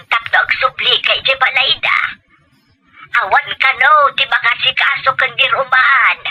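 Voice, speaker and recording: A woman's voice: fairly high pitch, pushed and bright, full character voice, low-quality recording. A woman playing an insistent old grandmother urging her family in a dramatized phone conversation.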